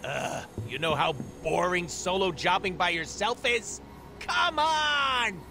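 An actor voicing a wisecracking antihero mercenary in a superhero video game, flippant and theatrical, full of rambling asides. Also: male voice, high-pitched, lively intonation, slow, slight background noise, full character voice. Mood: pleading, playful. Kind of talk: video game cutscene acting. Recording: loud music or ambience behind.

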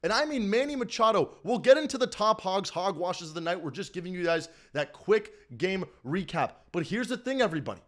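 A man's voice: high-pitched, big pitch swings, brisk, hardly any pauses, a bit bright. A young man in his twenties hosting a live-stream postgame recap from a small room at home, hyped and repetitive, often calling out to everybody in the chat. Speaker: sports fan streamer host. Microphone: desk mic in front of the face.

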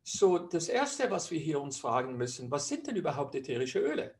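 A man's voice: fairly high pitch, sing-song, fairly quick, darkish tone. A man from Switzerland with a Swiss German accent, a physician presenting a webinar lecture over slides in a chatty way with frequent hesitations.

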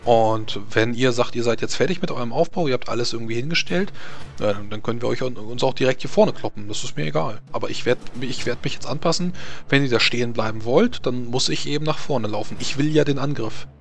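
A man's voice: medium pitch, brisk, gravelly. A man running a tabletop game, explaining battle tactics in a rambling way with many fillers. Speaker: game master.

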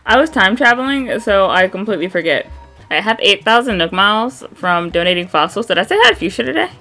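A young woman from the American Midwest with a Midwest accent and a slight vocal fry, chatting bluntly and swearing while commenting on gameplay. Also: mid-range voice, a little fast, soft background music. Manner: chatty, blunt, swears freely, reads game dialogue aloud flatly. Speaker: gaming streamer.

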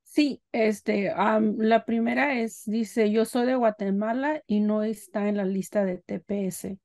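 A woman reads out audience questions as a co-presenter on a video call. She speaks slowly at a medium pitch, and the sound is dry. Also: fairly even intonation, darkish tone, dry recording. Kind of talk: reading out questions.

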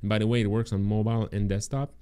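A man's voice: on the low side, level tone, quick pace, mellow, clear, resonant tone. A man in his thirties casually presenting business bonuses on a livestream into a close mic, rambling with fillers and restarts, his voice worn from talking for hours. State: losing his voice, throaty. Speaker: agency owner presenting course bonuses. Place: home room indoors. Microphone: close studio mic in frame.

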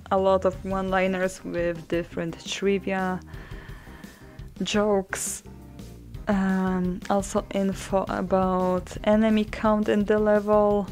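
A young woman talks through a game with a relaxed, dry wit, pausing with ums as she thinks aloud. Her voice carries a gravelly, fry-laden edge at an ordinary pitch. Game music plays clearly beneath her desk-mic commentary. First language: Polish. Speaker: mod developer showing a game. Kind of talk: casual gameplay commentary.

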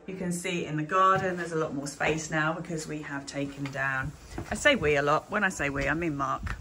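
A woman's voice: deep voice, normal speed, background noise. A woman chatting casually with many "um"s while walking through a bare, empty house, filming on her phone. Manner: chatty, frequent "um" and "so". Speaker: vlogger touring a house renovation. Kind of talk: casual walkthrough narration. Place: empty stripped-out house, indoors.

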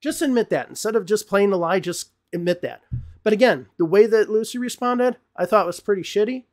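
Ranting middle-aged man, raised pitch. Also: expressive, fairly quick, a little mellow. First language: English. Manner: emphatic, ranting, repeats words when worked up; gestures broadly with hands while talking. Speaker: English teacher and channel host. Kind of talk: opinionated commentary to camera. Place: small home studio room with bookshelves, indoors. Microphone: podcast mic on desk in front of him.

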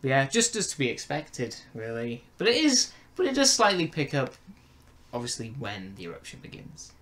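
A man's voice: mid-range voice, wildly swooping pitch, moderate pace, fairly bright tone. A young man in a casual review chat, searching for his words as he talks. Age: young adult. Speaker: podcast reviewer and co-host. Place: bedroom, indoors. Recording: noisy home room recording.

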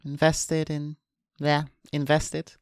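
A woman's voice: low voice, monotone, slow, clear, resonant tone, no room sound, studio quality. A woman streamer reading visual novel narration aloud, with casual asides. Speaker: VTuber streamer. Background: soft guitar game music.

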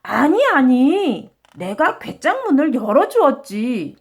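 A woman acts out a kindly but flustered traveler man from a storybook. The voice is high and very lively, with earnest, exclamatory, old-fashioned phrasing. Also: slow pace, slightly rough, exaggerated voice. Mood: flustered explaining. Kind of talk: children's storybook character voice.

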